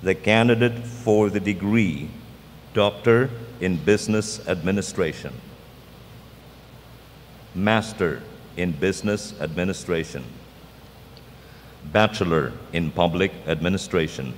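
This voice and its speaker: A man with a very deep voice reads a formal presentation into podium microphones. His words ring out with a long hall reverb. Faint noise sits underneath. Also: a little slow, long pauses. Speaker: college dean. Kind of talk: formal ceremonial address.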